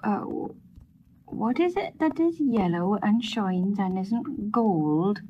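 A woman voicing a simple young man who speaks hesitantly, with halting fillers. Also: medium pitch, very animated, vocal fry, highs cut off, a little hiss or hum, heavily acted. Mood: puzzled.

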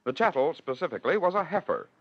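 A man's voice: a little high, sing-song, fast. An American man hosting and narrating a 1940s radio program with wry, theatrical storytelling. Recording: dull, lo-fi 1940s radio recording.

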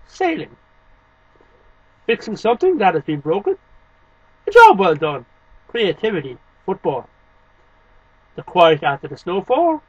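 A man's voice: high-pitched, breathy edge, no echo. A man in his thirties reciting poetry to camera at home in an emphatic, declamatory way, in short phrases. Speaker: amateur poetry reader and video host. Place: small home room lined with shelves, indoors. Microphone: webcam microphone a short distance away. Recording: dull lo-fi webcam sound.

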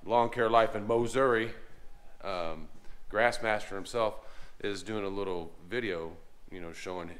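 A man in his forties giving a casual walkthrough of his business's garage shop. He talks in a relaxed, plainspoken way, with many fillers and restarts, into a camera held at arm's length. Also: fairly low pitch, a little slow. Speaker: lawn care business owner. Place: large unfinished garage workshop, indoors. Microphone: handheld camera at arm's length.

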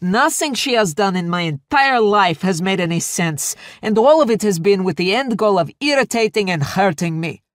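A woman voicing an exasperated, scornful middle-aged mother who scolds loudly in a shrill, furious voice in an audiobook. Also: animated, moderate pace, hardly any pauses, no echo, studio quality, natural voice.

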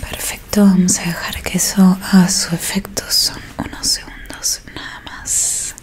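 A young woman with an Argentine accent does a soothing ASMR roleplay in a breathy whisper, close to the microphone. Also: on the low side, flat delivery, measured pace. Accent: Argentine Spanish. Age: young adult. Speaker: ASMR performer playing a technician who erases memories. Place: small dim room indoors. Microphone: close microphone in front of her.

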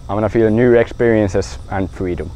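Low-voiced teen boy from Finland. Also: fairly even intonation, normal speed, warm tone, low-quality recording. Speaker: exchange student.

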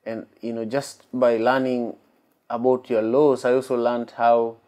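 A man's voice: mid-range voice, little pitch movement, a little slow. A man in his thirties hosting a podcast interview, praising his guest and leaning on "you know". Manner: warm praise, many fillers like "you know". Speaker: podcast host. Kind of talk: casual interview. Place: hotel room interview set, indoors.

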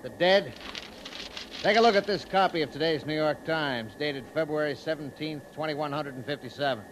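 Actor as scornful high-pitched officer, noisy radio. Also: male voice, moderate pace, natural voice. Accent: American. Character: scornful, domineering cargo jet officer. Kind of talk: 1950s radio drama acting. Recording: noisy vintage 1950s radio recording.

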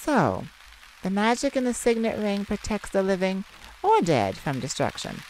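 A woman voicing a feisty, sardonic male vampire with a softer side, in a theatrical ASMR roleplay addressed to the listener. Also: mid-range voice, normal speed, slight background noise, poor audio. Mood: wary, exasperated. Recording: steady rain ambience behind the voice. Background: rain falling.

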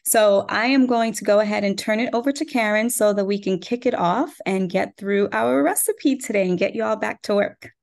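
A woman's voice: mid-range voice, moderate pace, vocal fry, hardly any pauses, a little mellow. A woman co-owner of a food business, presenting in a friendly way on an online session. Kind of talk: webinar presentation.

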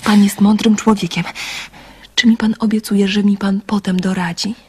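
A woman's voice: medium pitch, flat delivery, normal speed, husky. An actress playing a trusting, sensitive seventeen-year-old girl in a radio drama, speaking in an earnest, confiding way. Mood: earnest, pleading.

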